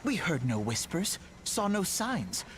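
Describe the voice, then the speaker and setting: An actor playing an earnest, idealistic young scholar-leader in a fantasy game, speaking in formal, archaic phrasing. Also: male voice, high voice, big pitch swings, slow pace, a bit bright, a little hiss or hum, exaggerated voice. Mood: grave, measured. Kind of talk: fantasy game dialogue acting.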